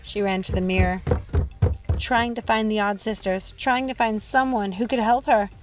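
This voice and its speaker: A woman reading a dark storybook tale as a dramatic audiobook narrator, with music playing behind her. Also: medium pitch, steady tone, moderate pace. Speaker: audiobook reader.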